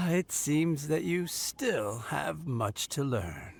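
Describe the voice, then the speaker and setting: An actor playing a kindly, sentimental old man in a video game, speaking tenderly and haltingly. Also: on the high side, big pitch swings, vocal fry, heavily acted. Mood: happy, wistful.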